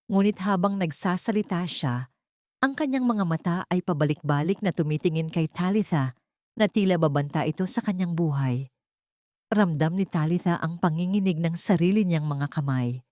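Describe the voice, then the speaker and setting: A woman's voice: on the low side, a little mellow, no echo, studio quality. A woman with a Filipino accent narrating a dramatic scripted story as an audiobook reader.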